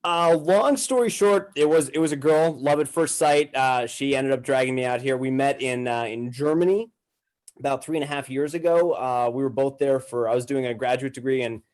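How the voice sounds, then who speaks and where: A man's voice: medium pitch, normal speed, a little mellow, low-quality recording. A man in his twenties from Massachusetts with an American accent, chatting and telling stories in an informal, slangy way. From Massachusetts, United States. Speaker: podcast guest. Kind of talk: casual conversational storytelling.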